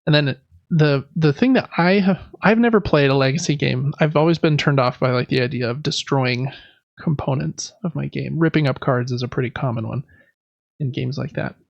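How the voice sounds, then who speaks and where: A man's voice: medium pitch, normal speed, creaky, no echo, lo-fi sound. A man hosting a casual board game podcast, talking in an informal, conversational, meandering way. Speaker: podcast host.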